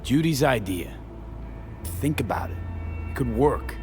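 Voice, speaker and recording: A man playing a steady, streetwise mercenary in a cyberpunk game, talking in terse, clipped phrases. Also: medium pitch, measured pace, natural voice. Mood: pressing. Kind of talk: video game voice acting. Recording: music behind the voice.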